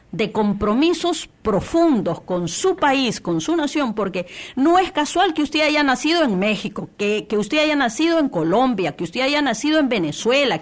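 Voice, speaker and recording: A woman from Peru, a church radio coordinator, giving an earnest interview testimony with many restarts and fillers. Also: on the high side.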